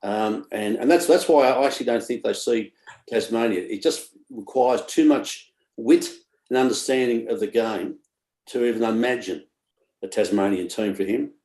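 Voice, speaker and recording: A man's voice: mid-range voice, normal speed, warm tone, roomy sound. A man with an Australian accent, ranting sarcastically and scathingly on a sports talk radio panel.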